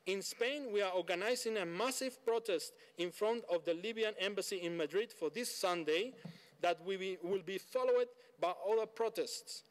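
High-pitched man giving a formal statement. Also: moderate pace. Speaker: delegate speaking from the floor. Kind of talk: prepared statement at a formal meeting. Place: large indoor conference room. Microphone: desk conference microphone.

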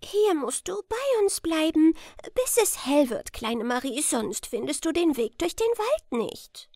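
Woman voicing a high-pitched, brisk storybook mouse. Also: sing-song, a little mellow, no echo, clean studio sound, heavily acted. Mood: busy, caring. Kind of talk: storybook character voice.

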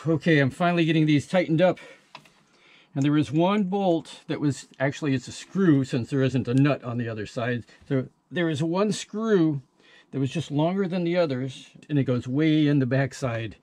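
Older male DIY boat mechanic, slightly higher voice, casual how-to delivery, matter-of-fact and plain-spoken. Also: normal speed, darkish tone. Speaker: sailor demonstrating boat engine repair.

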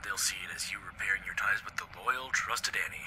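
A man's voice: deep voice, a little fast, pushed and bright. A man voicing a weary, blunt young survivor in a fan audio drama, firm and terse, on a noisy recording. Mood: terse scheming. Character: weary, blunt young male survivor, protective but impatient.

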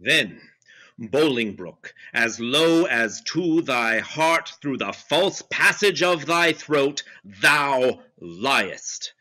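A man's voice: mid-range voice, animated, very slow, sharp tone, heavily acted. A man voicing a proud, wronged nobleman in a Shakespeare reading over a video call, with impassioned, defiant verse delivery. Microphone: video call webcam.

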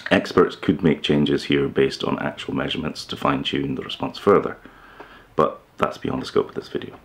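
A man in his fifties with an extremely low, creaky voice talks to camera in an instructional style. He explains in a measured way, pausing as he goes, seated indoors in a living room. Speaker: presenter of a hi-fi DIY video channel.

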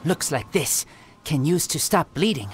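A woman voicing a terse teenage boy raised by wolves in a fantasy game, in halting, blunt, broken sentences. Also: low-pitched, expressive, a little hiss or hum. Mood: guarded.

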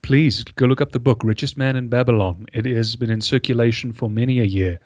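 A man's voice: mid-range voice, brisk, a little mellow, no echo, lo-fi sound. A man with a South African accent hosting a podcast interview in florid, theatrical phrasing. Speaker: podcast host. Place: indoors, remote video call. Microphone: large desk microphone, close.

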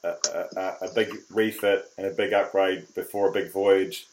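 A man's voice: low voice, steady tone, moderate pace, low-quality recording. A man hosting a sailing podcast, asking questions in a chatty, folksy way. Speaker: podcast host. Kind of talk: casual interview.

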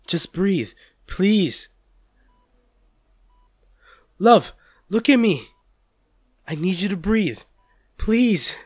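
A man's voice: a little high, no room sound. Man acting tender, anguished male lover, close and dry. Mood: panicked, pleading. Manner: halting, stammering, emotional delivery. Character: tender, anguished male lover comforting an injured partner in hospital. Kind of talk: audio roleplay drama, one-sided dialogue.

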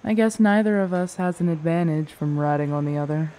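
A woman's voice: deep voice, fairly quick, dark tone, natural voice. A woman playing a cynical, threatening vampire in an audio roleplay, with a blunt, drawling delivery. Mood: menacing, irritated.

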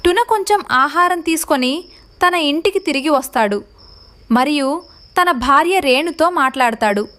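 A woman storyteller with a high voice narrates a children's tale. Her delivery is scripted narration, linking the scenes of a cartoon moral story. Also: animated, moderate pace, fairly bright tone.

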